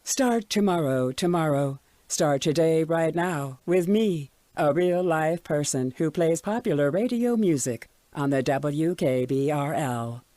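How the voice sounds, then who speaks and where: A woman playing a composed, sinisterly reassuring game radio presenter, measured and persuasive like an advert. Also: sits low, expressive, warm tone. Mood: inviting. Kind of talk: radio ad and station announcement.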